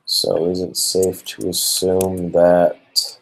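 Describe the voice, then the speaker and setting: A man interviewing, asking long, halting questions with many restarts and fillers. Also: low voice, monotone, gravelly, a little hiss or hum, poor audio. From Hawaii. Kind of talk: casual interview.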